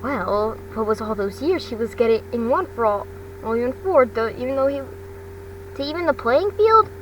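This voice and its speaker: A woman narrating a story aloud in a halting way, stumbling over words as she reads. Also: expressive. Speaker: amateur fan fiction reader. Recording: distant mic with loud rumbling noise.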